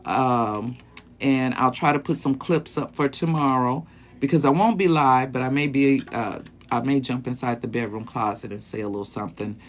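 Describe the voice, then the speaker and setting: A man in his late fifties talks casually to his live-stream viewers from a small closet. He hosts as a sexologist and rambles along, pausing on fillers and restarting sentences. His pitch sits in an ordinary middle range for a man. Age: 50s. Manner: easygoing and rambling, with many ums and restarts.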